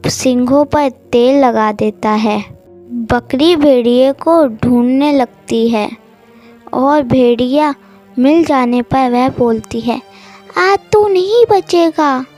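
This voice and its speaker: High young voice, slow delivery, children's story narration, animal tale. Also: fairly even intonation, darkish tone, soft background music.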